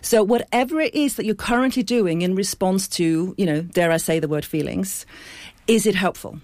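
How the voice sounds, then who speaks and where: A woman's voice: mid-range voice, normal speed, a little mellow, dry recording. A woman from Iceland speaking as a guest in a radio studio, in a mid-range voice. She talks in a thoughtful, earnest way and explains through analogies. The recording is dry and close. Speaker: clinic founder and guest. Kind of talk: studio interview.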